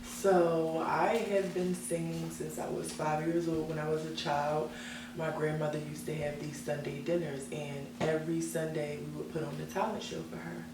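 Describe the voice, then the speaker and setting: A woman's voice: low voice, flat delivery, normal speed. A young woman singer as an interview guest, talking about herself in earnest, self-assured casual chat. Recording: echoey room, mic at a distance, background hum.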